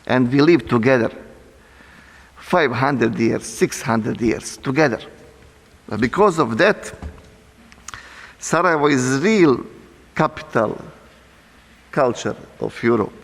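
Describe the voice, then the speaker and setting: A man from Bosnia with a Bosnian accent, an imam speaking English as a second language at a university seminar, earnest and halting, often repeating words as he restarts. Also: medium pitch, lively intonation, creaky. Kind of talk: seminar talk. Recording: long hall reverb.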